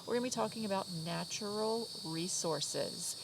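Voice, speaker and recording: A woman's voice: mid-range voice, moderate pace, vocal fry, background noise. A woman teaching a kindergarten lesson over video from her garden, her nose and ears stuffed up, speaking encouragingly with many fillers and now and then singing simple teaching songs. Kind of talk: remote lesson to young children. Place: outdoors in a garden by an orange tree. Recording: outdoor recording, crickets and insects behind.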